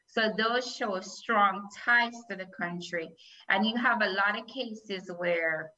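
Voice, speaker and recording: A woman's voice: fairly low pitch, bright, forceful tone. A middle-aged woman, an attorney hosting a talk show, explaining legal matters step by step with examples over a video call. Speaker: host, immigration attorney. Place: small plain room indoors, video call. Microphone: laptop or webcam microphone on video call. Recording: dull, lo-fi video-call audio.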